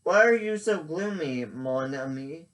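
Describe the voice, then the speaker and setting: A man voicing a friendly, helpful Cajun fisherman, a little higher and louder than his own voice. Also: lively intonation, measured pace. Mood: concerned.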